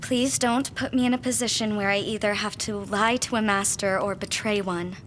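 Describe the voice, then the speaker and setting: An actress playing a deferential young apprentice in a gothic period audio drama, polite and careful with formal phrasing. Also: female voice, medium pitch, steady tone, moderate pace, fairly bright tone, a little hiss or hum, natural voice. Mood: careful, uneasy.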